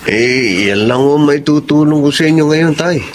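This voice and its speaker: A man's voice: fairly high pitch, normal speed, slight background noise, lightly acted. A man playing a meek, apologetic tutor in his thirties in a drama, humble and hesitant. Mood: humble, earnest. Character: meek, apologetic thirty-five-year-old tutor, dutiful son. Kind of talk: emotional Filipino audio drama acting.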